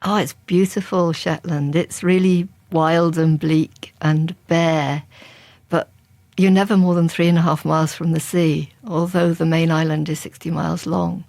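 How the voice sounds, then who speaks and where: Gravelly, low-voiced woman author. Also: flat delivery, measured pace, a little mellow, no echo. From England. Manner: reflective and conversational, with frequent restarts. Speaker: crime writer. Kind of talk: interview guest.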